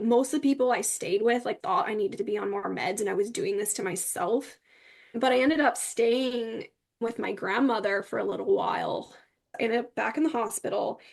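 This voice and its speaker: Woman podcast guest, bit higher pitched, candid casual storytelling, says like a lot. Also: vocal fry. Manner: informal, candid, frequent "like". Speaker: podcast guest sharing personal experience. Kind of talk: casual personal storytelling in an interview.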